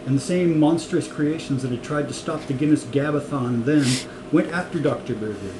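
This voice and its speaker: A man reads a comic story aloud with a medium-pitched voice and an amused manner. The recording is echoey, with clear noise around him. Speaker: reader of a comic novel. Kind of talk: story reading with acted dialogue.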